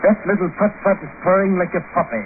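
An actor playing a cheeky, excitable, loyal foreign sidekick with colorful phrasing in 1950s radio drama style. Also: male voice, high-pitched, fast, over music, lightly acted. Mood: cheerful. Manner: colorful, odd phrasing, animated. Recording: tinny, noisy 1950 radio recording.

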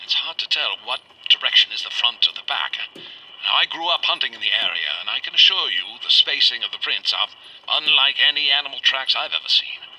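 A man's voice: medium pitch, lively intonation, a little fast, sharp tone, husky, heavily acted. An actor playing an earnest, uneasy country man who rambles hesitantly as he records a spoken letter for a radio drama. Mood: earnest, intrigued. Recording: faintly noisy, old wax cylinder recording.

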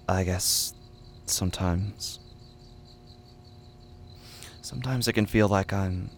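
Doubting, troubled man: deep, halting, subdued, slow delivery over faint hum. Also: darkish tone. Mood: hesitant, vulnerable. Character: troubled, doubting male churchgoer, brooding and hesitant.

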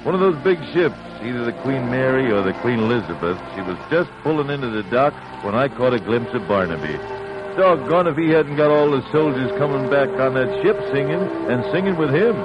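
A man's voice: mid-range voice, lightly acted. A man playing a folksy old grandfather telling a story in 1940s radio acting style. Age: older. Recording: lo-fi 1940s radio broadcast with music.

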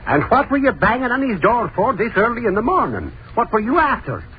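A man's voice: very high-pitched, huge pitch swings, fairly quick, full character voice. A man playing a suspicious, incredulous interrogator in an old-time radio drama, asking pointed questions. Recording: dull, faintly noisy old radio recording.

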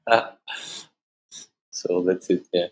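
A man from Austria whose first language is German, speaking English with an Austrian German accent as an interview guest, halting and repeating words, often laughing. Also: low voice. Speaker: musician guest. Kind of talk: casual interview answers.

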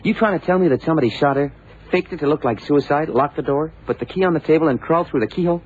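An actor playing a plain-spoken, excitable, puzzled sidekick in an old radio drama, colloquial and forever protesting. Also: male voice, on the high side, rapid-fire, natural voice. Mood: incredulous. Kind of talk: 1970s radio drama acting. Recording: tinny, noisy old radio recording.

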